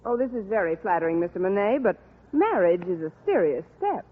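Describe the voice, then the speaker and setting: An actress playing a wisecracking, deadpan American schoolteacher in a 1940s radio sitcom. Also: female voice, mid-range voice, brisk, lightly acted. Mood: wry. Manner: deadpan, wry. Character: wisecracking single female schoolteacher, dry and wry. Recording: muffled, hissy vintage radio recording.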